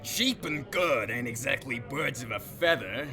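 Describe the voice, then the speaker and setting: A man's voice: medium pitch, expressive, a little slow, close to an everyday voice. A man acting as a folksy, wisecracking space-western barkeep with a drawling, theatrical delivery. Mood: wry, gossipy. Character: folksy, wisecracking male space-saloon barkeep, showy salesman. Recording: music under the voice.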